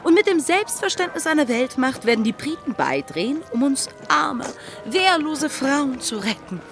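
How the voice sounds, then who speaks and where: A cunning young pirate woman in a radio drama. She sets out her ruse with urgent, theatrical authority and a very lively melody, over clear background music. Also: sits high, normal speed. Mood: scheming, confident. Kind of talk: German radio drama acting. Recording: studio radio play with music behind.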